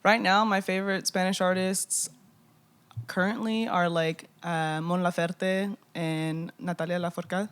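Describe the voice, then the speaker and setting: Lively young woman chatting. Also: lowish voice, a little slow, clean tone. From Austin, Texas. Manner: lively, laughing, swears freely. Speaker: podcast guest, R&B singer. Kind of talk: casual podcast chat.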